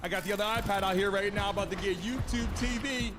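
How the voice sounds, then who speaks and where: A man hosting a live stream in hyped casual chat, excitable and repetitive as he calls out to his viewers. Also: high voice, fast talker. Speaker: livestream host. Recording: loud music behind the voice.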